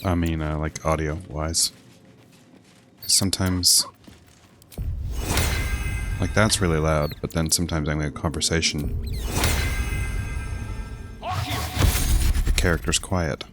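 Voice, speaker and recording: A man's voice: very deep voice, steady tone, slow pace, long pauses, music in the background. A man giving casual gameplay commentary as he streams, with game sound behind him. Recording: noticeable background noise behind voice.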